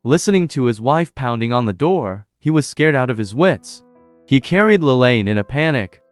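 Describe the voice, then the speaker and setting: A man reads a dramatic story in an even, steady voice-over. The sound is dry and close, and soft music plays underneath. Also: mid-range voice, normal speed, no room sound. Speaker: narrator. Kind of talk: scripted story narration.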